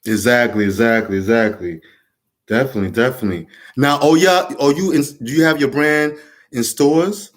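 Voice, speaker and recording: A man's voice: medium pitch, normal speed. A man hosting a casual interview, jokey, who keeps repeating his affirmations. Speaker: show host and comedian.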